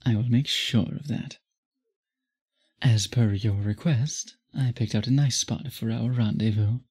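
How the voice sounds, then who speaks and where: A man playing an obsessed, courtly gentleman vampire in an intimate audio roleplay, speaking formally and deliberately to the listener. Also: mid-range voice, breathy edge. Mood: courtly, charmed. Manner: formal, flowery, measured speech with deliberate pauses. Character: obsessed, courtly gentleman vampire suitor, possessive yet restrained. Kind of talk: intimate scripted ASMR roleplay, addressed to listener.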